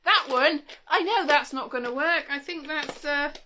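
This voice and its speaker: A woman with a high-pitched, bright voice speaks in an informal vlog monologue. She is chatty and wry, wandering from one thought to the next and cutting herself off as she goes. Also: moderate pace, a little hiss or hum. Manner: chatty, wry, self-interrupting. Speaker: vlogger showing old interview tapes. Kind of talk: casual rambling monologue to camera.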